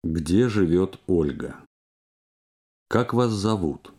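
Casual: deep mellow guy reading language drills, slow and super clearly spoken. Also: expressive. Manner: slow, careful, clearly enunciated. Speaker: language course reader.

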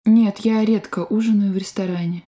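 Female course voice, soft dark tone, careful even delivery for drill prompts and answers. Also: mid-range voice. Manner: clear, deliberate, evenly paced. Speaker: language course speaker. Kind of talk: scripted language drill reading.

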